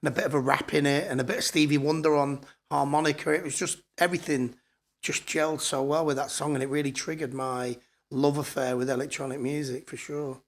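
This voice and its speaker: Chatty northern English man, higher-pitched voice. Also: fairly even intonation, fairly quick. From born in Scotland, grew up in Blackpool, northern England. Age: 50s. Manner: chatty, candid, colloquial storytelling. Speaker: podcast guest, DJ. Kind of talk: casual reminiscing interview.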